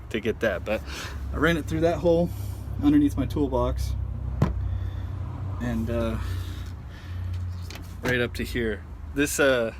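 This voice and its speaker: A man giving an informal, halting how-to demonstration, thinking aloud as he goes. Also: mid-range voice, fairly bright tone. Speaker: amateur truck owner showing a DIY install. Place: outdoors at a truck. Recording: handheld outdoor recording with clear background noise.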